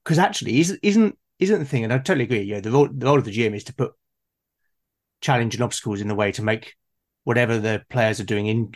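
A man's voice: medium pitch, quick pace. A man talking as a game master in an unscripted discussion, hesitantly repeating words as he forms his thoughts.

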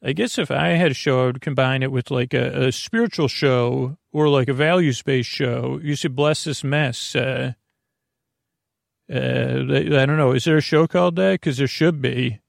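A man hosting a bedtime podcast in a creaky, gravelly voice, rambling in a halting, meandering way and drifting into tangents mid-sentence. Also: medium pitch, no room sound, clean studio sound. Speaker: podcast host. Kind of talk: rambling bedtime monologue for falling asleep.

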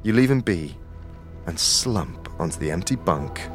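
Deep-voiced male narrator over music. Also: measured pace. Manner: steady, descriptive storytelling. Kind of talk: scripted second-person audio drama narration.

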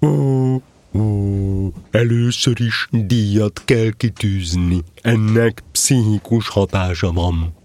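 A man's voice: lowish voice, darkish tone, dry recording, close to an everyday voice. Man playing a pompous, hooting owl. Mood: pompous, lecturing. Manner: pompous, hooting between phrases. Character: pompous, long-winded, self-important owl. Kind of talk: audio drama character acting.

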